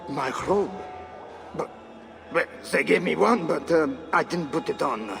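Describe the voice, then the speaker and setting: An actor playing men of a medieval church in a video game cutscene. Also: male voice, on the high side, measured pace, creaky, over music. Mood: nervous.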